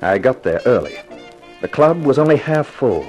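An actor playing a wisecracking, slangy private detective in a 1950s radio crime drama. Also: male voice, medium pitch, big pitch swings, moderate pace, highs cut off, loud music behind the voice, lightly acted. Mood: wry narrating. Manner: slangy, wry, tough-guy talk. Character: wisecracking hard-boiled private detective, narrating his own case.

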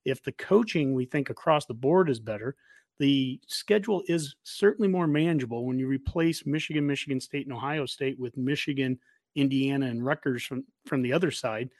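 A man's voice: medium pitch, brisk, a little mellow, no room sound. An American man hosting a casual sports discussion, talking in an emphatic, opinionated way. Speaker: podcast host.

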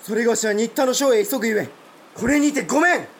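A man's voice: very high voice, lively intonation, fast, heavy background noise. A man playing a proud, blunt provincial samurai lord in a Japanese historical audio drama, speaking formal warrior speech. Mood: indignant.

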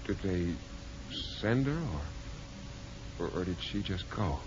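A man's voice: sits low, expressive, a little slow. An actor in a 1950s radio drama playing an earnest, lovestruck man who stammers and presses urgently. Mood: worried. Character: lovestruck, insistent young hospital patient. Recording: noisy, dull 1950s radio recording.

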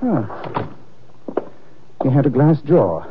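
Wry unflappable gentleman sleuth, swingy intonation, tinny vintage radio drama sound. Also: medium pitch, big pitch swings, takes it slowly, noisy background. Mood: breezily confident. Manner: dry, teasing, polished interrogation. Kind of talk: old-time radio detective drama acting. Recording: tinny, band-limited old radio recording.

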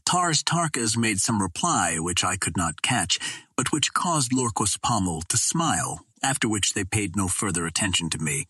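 A man narrating an old adventure novel in a measured, theatrical way, as a scripted dramatic reading. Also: on the low side, big pitch swings, sharp tone, husky, no echo, studio quality. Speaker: audiobook narrator.

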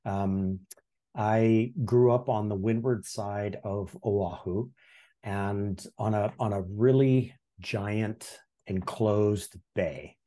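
A man in his sixties from Hawaii, telling his life story in a relaxed, reflective way as a podcast guest, with frequent ums and restarts. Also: lowish voice, slow. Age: 60s. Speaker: podcast guest, former history teacher. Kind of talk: casual interview storytelling.

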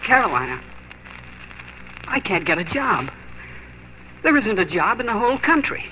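An actor playing a desperate, defeated young homesteader husband in a 1940s radio drama, agitated and halting. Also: male voice, high-pitched, sing-song. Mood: despairing. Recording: noisy, dull 1940s radio recording.

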